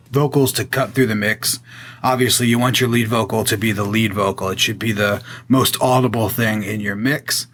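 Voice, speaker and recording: A man with a boomy voice explaining audio mixing in a relaxed, casual way, often pausing with fillers. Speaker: guest audio engineer.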